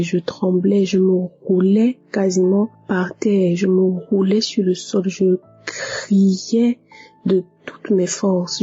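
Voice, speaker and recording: A woman's voice: medium pitch, mellow, slightly rough, highs cut off. A woman giving an earnest church testimony, repeating words for emphasis. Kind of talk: personal testimony.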